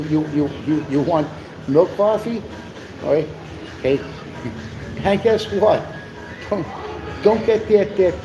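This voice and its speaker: A man co-hosting a live stream, chatting in a rambling, preachy way with lots of stammered restarts. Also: fairly high pitch. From USA. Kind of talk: rambling casual chat. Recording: noisy live stream with background hum.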